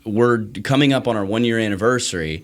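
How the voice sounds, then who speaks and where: Male podcast host, lowish pitch, earnest and informal. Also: a little fast, crowd noise. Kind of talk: casual conversational interview.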